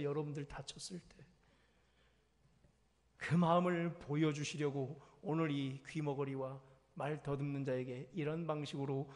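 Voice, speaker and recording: A male pastor preaching a sermon in a church, lively and acting out small scenes as he goes. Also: fairly high pitch. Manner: animated, acts out little scenes and quotes. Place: church sanctuary. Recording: long church-hall reverb.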